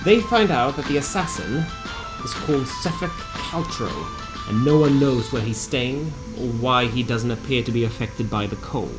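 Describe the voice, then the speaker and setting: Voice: mid-range voice, normal speed, few pauses, low-quality recording. A narrator reading a scripted recap of a tabletop fantasy game in a theatrical storytelling manner with wry asides. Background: music.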